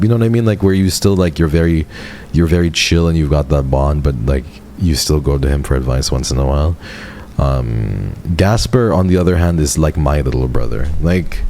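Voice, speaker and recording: A man in his twenties, a streamer rambling affectionately to his viewers in a casual livestream chat, his voice jumping up when he gets excited. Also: deep voice, a little hiss or hum. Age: 20s. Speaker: VTuber streamer.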